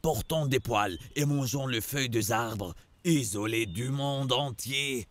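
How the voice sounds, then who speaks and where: A man playing a devout servant in an animated religious drama, speaking earnestly. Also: medium pitch, slow, close to an everyday voice. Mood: reverent wonder.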